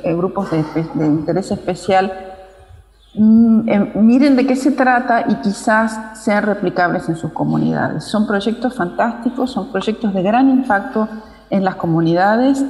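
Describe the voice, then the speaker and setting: A woman's voice: mid-range voice, a little mellow. A middle-aged woman with an Argentine accent, giving an informal remote speech as a guest. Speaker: guest speaker, chapter president. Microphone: video call with earphones. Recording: echoey video call played in hall.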